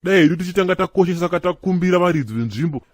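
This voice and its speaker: A man's voice: high voice, no room sound. An actor voicing a chatty Zimbabwean cartoon church member who argues and laughs as he talks. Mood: lively. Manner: fast, excitable, argumentative and laughing. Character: chatty adult male apostolic church member. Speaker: cartoon character, Chikombera. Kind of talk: comic cartoon dialogue.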